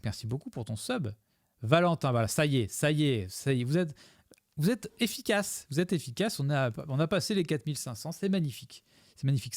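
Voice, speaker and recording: A man's voice: mid-range voice, vocal fry, no room sound, studio quality. Rambling jokey man streaming live. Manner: rambling, jokey, thanks followers. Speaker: streamer commenting his own video. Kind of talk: live commentary to chat.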